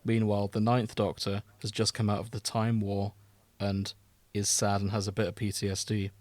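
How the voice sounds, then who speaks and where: A man's voice: fairly low pitch, completely flat delivery, normal speed, darkish tone, dry recording. A man chatting casually as a podcast co-host, informal and opinionated in a bantering way.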